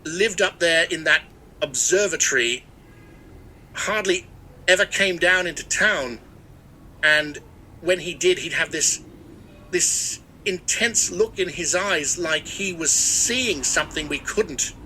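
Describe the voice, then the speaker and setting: A man acts out a superstitious old village historian in an audio mystery drama. The voice is sharp, forceful and a little high, with hesitant, folksy storytelling over faint background noise. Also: takes it slowly, exaggerated voice, low-quality recording. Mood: ominous reminiscing. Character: superstitious old male small-town local historian. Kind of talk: scripted mystery drama interview.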